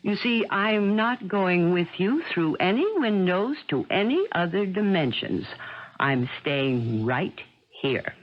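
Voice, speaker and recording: A woman playing an anxious yet resolute middle-aged mother in a radio mystery drama, with a husky, theatrical delivery. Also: lowish voice, takes it slowly. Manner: expressive, theatrical. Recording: dull old radio recording.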